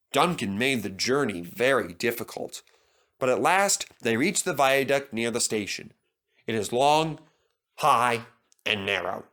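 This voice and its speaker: Animated male storybook narrator. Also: mid-range voice. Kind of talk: scripted children's story narration.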